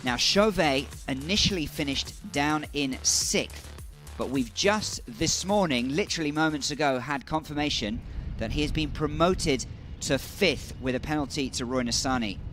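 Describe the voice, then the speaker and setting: A man giving live motorsport commentary as the race commentator, brisk and informative, leaning into the key moments. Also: a little high, a bit bright, soft background music.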